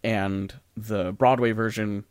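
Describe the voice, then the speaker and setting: A man's voice: lowish voice, level tone, slow, smooth, no room sound, studio quality. A man co-hosting a podcast, talking casually and informally, hesitantly thinking aloud as he goes. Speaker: podcast co-host. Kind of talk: casual discussion of music and theatre.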